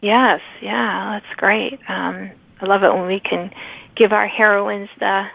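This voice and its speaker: A woman's voice: mid-range voice, steady tone, slow pace, vocal fry, dry recording. A woman hosting a podcast, interviewing a guest in a friendly, chatty way. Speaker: podcast host interviewing authors. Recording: muffled band-limited phone-like call audio.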